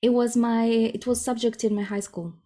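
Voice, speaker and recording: A woman's voice: on the high side, fairly even intonation, moderate pace, warm tone. Chatty Croatian-accented woman. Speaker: interview guest, improviser. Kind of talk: casual conversational interview.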